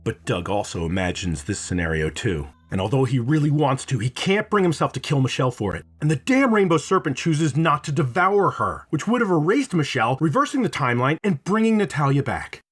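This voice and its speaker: A man with a husky voice narrates a scripted video essay like a storyteller. His pitch moves in lively swings around a middle register. The delivery is expressive and dramatic, loosened by casual swearing. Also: fairly quick, hardly any pauses. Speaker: video host, reviewer.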